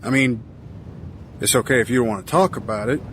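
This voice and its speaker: An actor playing a humble, curious sailor who talks haltingly and stammers with deference. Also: male voice, mid-range voice, slight background noise, lightly acted. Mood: hesitant, curious. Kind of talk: audio drama acting.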